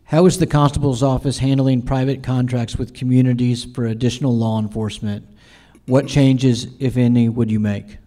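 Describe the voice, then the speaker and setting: A man's voice: medium pitch, little pitch movement, normal speed, mellow. A man moderating a forum, reading questions to candidates in a formal, courteous manner.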